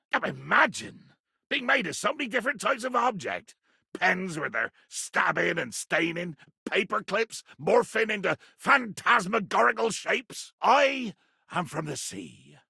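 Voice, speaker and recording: A man playing a pompous, belligerent sea captain in a video game, with a raspy voice and a theatrical, grandiloquent delivery. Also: high voice, very animated, measured pace, pushed and bright, no echo, studio quality, full character voice. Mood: boastful. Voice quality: gravelly, raspy.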